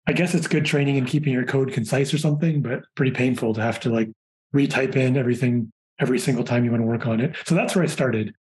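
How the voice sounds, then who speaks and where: A man in his forties, a software engineer guest on a podcast, telling chatty anecdotes in a relaxed way. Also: mid-range voice, fast talker. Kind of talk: casual conversational interview.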